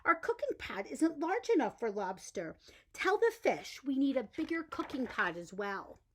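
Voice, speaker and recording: A woman's voice: high voice, very animated, normal speed, a little hiss or hum. A woman voicing an eager fisherman's wife who always wants more, emphatic and demanding, in a bedtime story. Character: eager, ever-wanting fisherman's wife in a fairy tale.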